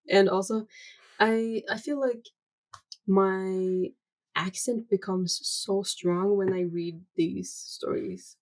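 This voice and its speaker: A woman on a podcast speaking in a flat monotone and at an unhurried, slow pace. Her replies are mostly short. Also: mid-range voice. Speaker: podcast co-host. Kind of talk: casual podcast chat.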